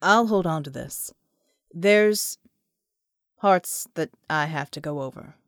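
A woman voicing a gruff, guarded, hard-drinking man in an audiobook, speaking tersely and deliberately. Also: on the high side, a bit bright, dry recording, studio quality, pitched below the performer's own voice. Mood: grave, deliberate.

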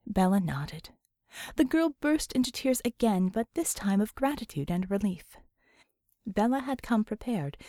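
A woman's voice: mid-range voice, mellow, no room sound, studio quality. A woman reading scripted fiction expressively as an audiobook narrator.

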